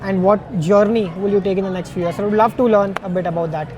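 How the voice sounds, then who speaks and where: A man's voice: high voice, fairly even intonation, fast, crowd noise. A man interviewing a guest conversationally, affirming and recapping points.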